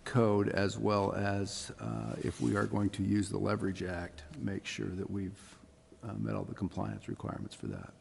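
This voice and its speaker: Male official, heavy vocal fry, hesitant explanations, reverberant room with faint noise. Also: on the low side, sing-song, normal speed, hardly any pauses. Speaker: city official. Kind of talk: explaining answers at a public meeting. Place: council chamber.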